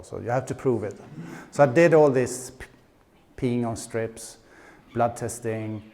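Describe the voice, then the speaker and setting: Slow-talking Swedish man giving a talk. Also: mid-range voice, a little mellow, a little hiss or hum. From Sweden. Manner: hesitant, many fillers and restarts, dry humor. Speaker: conference speaker. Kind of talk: conference talk. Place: conference stage.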